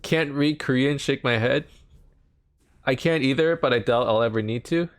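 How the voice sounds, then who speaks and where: A man's voice: normal speed, no room sound. Rambling young man streaming with chat. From Canada. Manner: rambling, filler-heavy, pitch jumps up when animated. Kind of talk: casual chat with live chat while gaming. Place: home.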